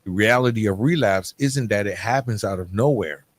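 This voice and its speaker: A man's voice: fairly low pitch, normal speed, no room sound. A man hosting a solo podcast, talking candidly and informally in a half-scripted, conversational way, checking in with "right?" as he makes his points. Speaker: podcast host.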